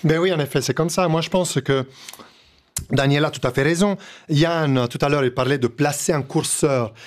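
A man with an Italian accent, a studio guest on a radio panel, agreeing readily in a lively manner. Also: a little high, moderate pace. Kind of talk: panel discussion.

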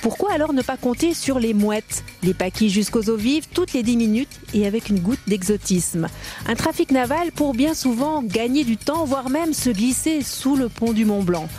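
A woman reporter, narrating a radio feature in light, crisp storytelling. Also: on the high side, lively intonation, moderate pace, warm tone, faint music behind. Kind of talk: scripted narration.

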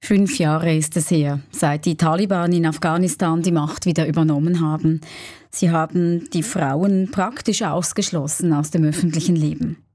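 A woman presenter reading a short news teaser in a measured way. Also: low-pitched, steady tone, warm tone, no echo, clean studio sound.

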